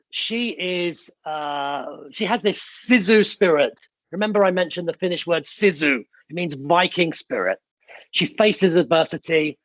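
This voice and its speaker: Man, raised in the UK with Italian as first language; high-leaning, dry-miked motivational keynote speaker who tells stories with emphasis. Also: a bit bright, no echo. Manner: emphatic, storytelling, punchy short phrases.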